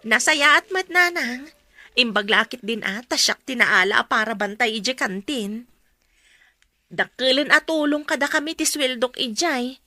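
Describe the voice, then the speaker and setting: A woman's voice: on the high side, moderate pace, sharp tone, dry recording. A woman acting a dutiful young mother who works at a school canteen, in an Ilocano radio drama. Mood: cheerful.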